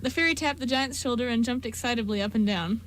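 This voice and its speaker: A woman's voice: fairly high pitch, fast talker, fairly bright tone, a little hiss or hum, rough recording. A woman reading scripted story narration for an amateur radio drama.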